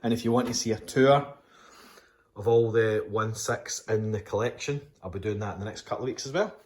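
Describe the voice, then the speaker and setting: Scottish male vlogger, very low voice, rambling casual delivery. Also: a little fast, a little mellow. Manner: chatty, rambling with fillers and restarts. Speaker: toy collector channel host. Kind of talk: casual unboxing vlog. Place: home room with display cabinets.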